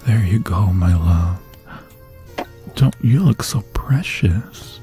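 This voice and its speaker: Obsessive undead lover male actor, low and unhurried, solemn archaic delivery, tender menace, for fantasy roleplay audio. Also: lively intonation, mellow, faint music behind, exaggerated voice. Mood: tender, sinister devotion. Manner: theatrical, slow, archaic solemn phrasing. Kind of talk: ASMR fantasy roleplay acting.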